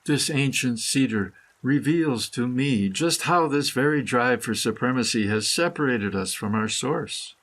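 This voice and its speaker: A man reading his own scripted meditative prose poem in a solemn, deliberate manner with dramatic phrasing. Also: mid-range voice, fairly bright tone. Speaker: author reading own essay.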